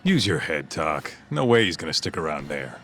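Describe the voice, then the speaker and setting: A man's voice: rumbling low, sing-song, normal speed, fairly bright tone, a little hiss or hum, heavily acted. An actor playing a brash, streetwise tough guy in a video game, blunt and colloquial. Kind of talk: video game cutscene acting.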